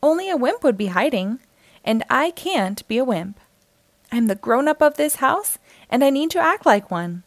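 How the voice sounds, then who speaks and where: A woman's voice: sits high, very animated, moderate pace, no echo. A woman voicing a plucky, earnest young boy in a children's storybook. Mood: determined. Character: plucky young boy left home alone.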